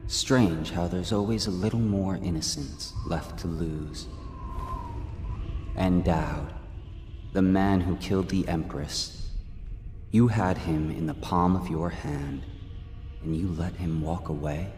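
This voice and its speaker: A man playing a detached, mysterious supernatural observer in a game, measured and coolly taunting. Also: deep voice, a little mellow, faint music behind. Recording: echoing, long reverb.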